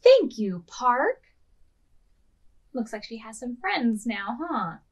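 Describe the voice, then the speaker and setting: A woman's voice: a little high, big pitch swings, slow pace. A woman in her thirties hosting a storytime as a children's librarian, talking expressively to small children as she reads aloud and gives simple instructions. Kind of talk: read-aloud and instructions for preschoolers.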